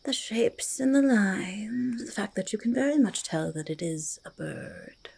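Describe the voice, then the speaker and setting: A woman voicing a curious, friendly forest goblin in a soft ASMR roleplay, speaking haltingly in breathy whispers and creaky murmurs, repeating words. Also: mid-range voice, expressive, heavily acted. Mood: quietly fascinated. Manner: halting, third-person speech with doubled words. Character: curious, eager, friendly forest goblin who hoards treasure.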